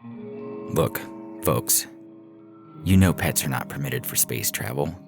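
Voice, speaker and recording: A man's voice: extremely low pitch, expressive, takes it slowly, creaky, music in the background, exaggerated voice. A man voicing a weary older male officer near retirement, firm and official but courteous and lenient, in an audio science fiction story. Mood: stern lecturing.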